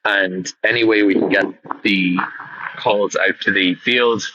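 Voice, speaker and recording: A man's voice: on the low side, fairly even intonation, slow pace, bright, forceful tone. A man thinking aloud in a casual, rambling way, often correcting himself as he asks a question. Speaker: podcast listener sending in a question. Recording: muffled, clearly noisy voice recording.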